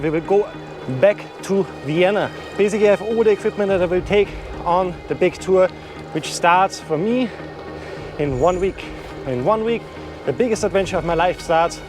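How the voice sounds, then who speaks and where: A man with a strong Austrian accent chatting casually to the camera in an excited way, outdoors on a riverside bike path. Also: sits high, normal speed. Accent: Austrian German. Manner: excited, chatty, talking while out cycling. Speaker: travel vlogger. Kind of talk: casual on-the-road vlog talk. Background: loud music.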